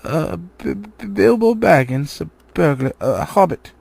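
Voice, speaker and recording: A man's voice: fairly high pitch, expressive, a little slow, vocal fry, darkish tone, breathy edge, pitched above the performer's own voice, exaggerated voice. A man voicing a small, timid hobbit burglar in a storybook, stammering and frightened.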